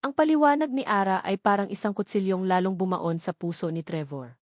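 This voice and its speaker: A woman's voice: medium pitch, brisk, dry recording, studio quality. A woman narrator reading a scripted romance story in a dramatic storytelling style.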